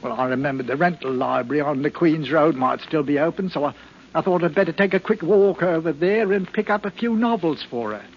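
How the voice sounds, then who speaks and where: A timid husband in an old radio thriller, stammering and deferential. His voice sits on the high side, on a muffled, noisy recording. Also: lively intonation, brisk. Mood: apologetic. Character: meek, flustered English husband hiding guilt. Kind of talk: 1940s radio acting.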